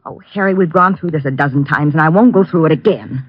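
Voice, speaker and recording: A woman's voice: sits low, quick pace, husky. An actress in an old radio drama playing a scheming young secretary who coaxes and pushes her lover to go through with a murder. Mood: impatient, demanding. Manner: sharp, demanding, coaxing. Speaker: private secretary. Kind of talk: 1940s radio acting. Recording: dull lo-fi old radio recording.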